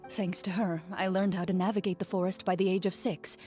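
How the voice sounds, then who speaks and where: Composed young swordswoman, played by an actress, mid-pitched. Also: level tone, warm tone, close to an everyday voice. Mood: matter-of-fact. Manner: measured, matter-of-fact, polite. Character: composed young woman duelist raised by a strict master. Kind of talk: scripted game dialogue. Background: game music.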